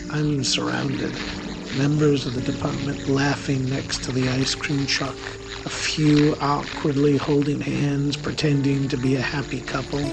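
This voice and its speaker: A man's voice: mid-range voice, creaky, poor audio. A man acting as a sardonic warlock on the run, narrating an audio drama in a theatrical, literate, wry manner. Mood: tense, defiant.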